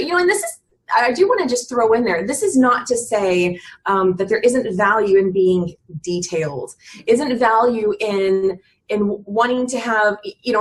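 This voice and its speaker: A woman in her thirties speaks as an interview guest in an earnest, searching way. She often trips, restarts, and leans on "you know" as she thinks aloud. Her voice sits a little high, with a faint hiss of noise behind it. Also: fairly bright tone, poor audio. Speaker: guest on a business podcast. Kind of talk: reflective conversational chat.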